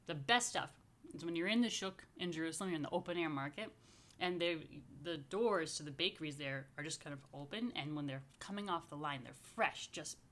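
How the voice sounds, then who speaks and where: A woman's voice: fairly low pitch, fairly bright tone. Woman rabbi doing story time for little kids n families, chatty n playful, lots of informal asides, talks right to viewers. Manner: addresses viewers directly with questions and invitations. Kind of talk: casual chatty address to young families.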